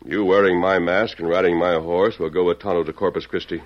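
A man playing a steadfast, noble masked Western hero in an old-time radio drama, speaking in a measured, formal, commanding way. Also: deep voice, fairly even intonation, lightly acted. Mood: resolute. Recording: muffled lo-fi vintage radio recording.

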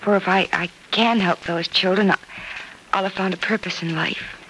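An actress playing a selfless, earnest young American daughter in a sincere, emotive old radio soap opera style. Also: female voice, mid-range voice, fairly even intonation, normal speed, fairly bright tone, close to an everyday voice. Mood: wistful, earnest. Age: young adult. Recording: noisy vintage radio drama recording.

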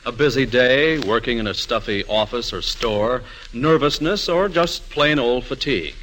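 A man's voice: medium pitch, animated, moderate pace, fairly bright tone, a little hiss or hum, rough recording. A man announcing a scripted old-time radio commercial in an emphatic, salesmanlike manner.

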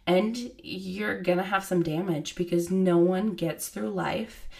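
Casting: woman, 30s, podcast host, low gravelly voice, slightly hoarse, chatty and casual. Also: fairly even intonation, measured pace, darkish tone. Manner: chatty, many fillers like "like" and "you know". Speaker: podcast host and child therapist. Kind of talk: casual solo podcast talk.

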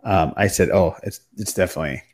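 A man's voice: low-pitched, quick pace, a little mellow. A man hosting a podcast, chatting casually about films with a panel. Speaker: podcast host.

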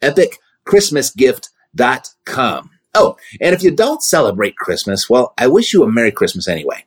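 A man's voice: medium pitch, sing-song, normal speed, breathy edge. A man hosting a real estate podcast, promoting offers and interviewing a guest in an upbeat, friendly way. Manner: upbeat, friendly salesman delivery. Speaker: podcast host.

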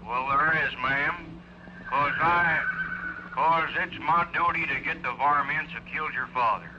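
An actor playing an earnest, heroic cowboy in a corny old western melodrama. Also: male voice, fairly high pitch, unhurried, bright, forceful tone. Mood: earnest, gallant. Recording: muffled, noisy old film soundtrack.

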